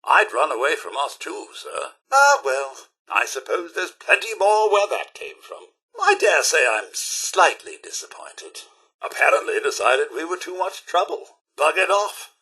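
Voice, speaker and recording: A man's voice: a little high, big pitch swings, a little slow, vocal fry, bright, forceful tone, husky, heavily acted. A man voicing a fussy, chipper British robot butler in a video game, posh, polite and theatrically eager for a fight. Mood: fussy, disappointed. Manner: posh, theatrical, exclamatory, polite to his employer. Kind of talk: video game combat barks.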